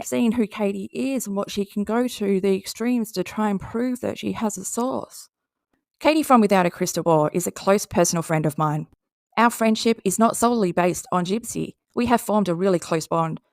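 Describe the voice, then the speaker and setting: A woman's voice: medium pitch, clean tone, no echo, studio quality. A woman with an Australian accent giving casual, sarcastic commentary and reading posts aloud in a blunt, mocking way. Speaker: commentary video host.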